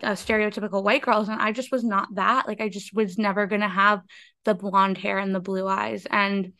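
Young woman, podcast host, smooth clear voice, dry close sound, chatty and candid. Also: medium pitch, little pitch movement, pushed and bright, no room sound. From United States. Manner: chatty, candid, quick asides. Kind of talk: casual podcast conversation.

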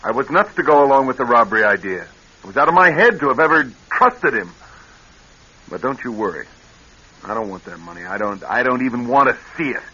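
A male actor playing a weary, guarded American ex-convict in a radio drama, plain-spoken and slangy with a husky voice. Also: mid-range voice, lively intonation, a little fast, pushed and bright. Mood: bitter, insistent. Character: weary, guarded ex-convict, casual working-class man. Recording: dull vintage radio recording.

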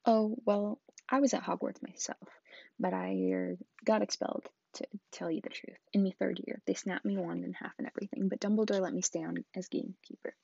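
Woman doing a big rough-talking kindly giant, husky voice, dull lo-fi sound. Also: mid-range voice, steady tone, fairly quick, darkish tone. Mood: rueful. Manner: folksy, rough country speech. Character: big gruff kindly male giant groundskeeper.

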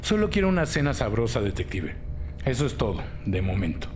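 A man's voice: mid-range voice, big pitch swings, brisk, darkish tone, slight background noise, lightly acted. Actor as a smug, mocking male criminal. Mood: smug, taunting. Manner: taunting, leisurely bargaining, sardonic. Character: smug, mocking, cold criminal informant in custody. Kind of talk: audio drama acting.

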